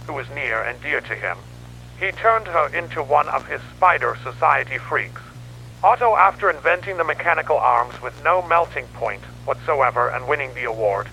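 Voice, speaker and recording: A man's voice: medium pitch, vocal fry, bright, forceful tone. A man playing a grim newspaperman who records a horror investigation log in a dramatic, grave storytelling manner. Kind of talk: scripted analog horror narration. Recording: noisy radio-like tape with hum.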